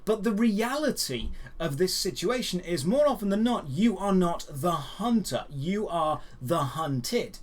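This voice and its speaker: A man with a slightly high voice presents gaming news as an opinionated host. His delivery is dry and emphatic, built on lists and rhetorical questions put to the listener. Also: very animated, unhurried. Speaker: host of a daily geek and gaming news show.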